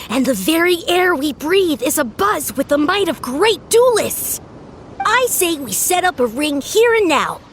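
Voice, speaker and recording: Game duelist: earnest, grandiose youngster, high voice, formal archaic speech, sweeping intonation. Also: female voice, fairly bright tone, a little hiss or hum, heavily acted. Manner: archaic, pompous, formal declarations. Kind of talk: animated video game voice acting.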